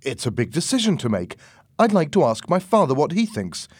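A man's voice: a little high, wildly swooping pitch, brisk, a little mellow, husky, dry recording, voice pushed up, exaggerated voice. A man voicing a nervous, eager young man, speaking hesitantly. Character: nervous tall young man at a job interview.

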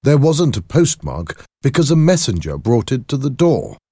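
A man voicing an anxious, secretive gentleman doctor in a storybook, speaking emphatically and earnestly. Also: mid-range voice, big pitch swings, moderate pace, slightly rough, no room sound, studio quality, voice pushed up. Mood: uneasy, earnest. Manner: emphatic, earnest, agitated delivery. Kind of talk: audiobook character acting.

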